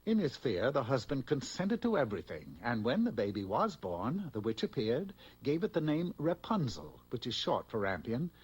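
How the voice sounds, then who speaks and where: A man with a husky voice narrating a scripted fairy tale for a cartoon. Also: mid-range voice, sing-song, normal speed, few pauses, low-quality recording.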